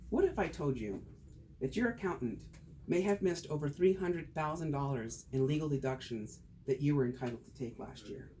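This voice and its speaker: A man's voice: a little high, expressive, normal speed, mellow. Man, tax presenter, joking, rhetorical questions, light noise in the room. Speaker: tax advisor giving a talk. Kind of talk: casual group talk turning into a presentation.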